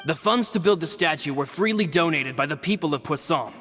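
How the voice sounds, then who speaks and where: A high male voice as a dutiful, deferential young assistant. His game dialogue is formal, courteous and eager, with music clearly playing behind him. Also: lively intonation, fairly quick, fairly bright tone. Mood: earnest, deferential. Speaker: video game voice actor.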